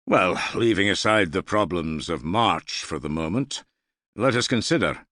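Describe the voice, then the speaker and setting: Man as wry Norse earl, self-assured, dryly amiable, animated delivery, close dry sound, audiobook dialogue. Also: sits low, measured pace, dry recording, voice pushed up. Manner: dry, amiable, gravely ironic.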